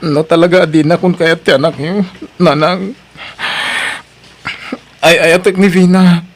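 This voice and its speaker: A man's voice: on the high side, steady tone, measured pace. A man acting an earnest, dutiful young husband and student in an audio drama, with a pleading way of talking. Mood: hurt, pleading.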